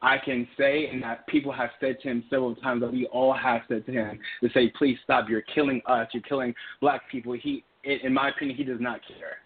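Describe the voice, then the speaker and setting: A man's voice: medium pitch, level tone, a little fast, few pauses, slightly rough. A man from Trinidad, a guest on an interview show, talking casually with many fillers and restarts. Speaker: guest, PSA creative. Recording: tinny phone-like line.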